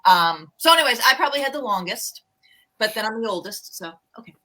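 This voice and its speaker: A woman's voice: on the high side, expressive, fairly quick, pushed and bright, poor audio. A middle-aged woman, a romance author and co-host, chatting candidly and a little self-deprecatingly about writing books. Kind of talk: casual book chat.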